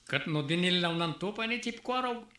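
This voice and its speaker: A man's voice: sits high, lively intonation, normal speed, sharp tone, reverberant. A man acting a joking driver who teases about the neighbors, in a radio drama.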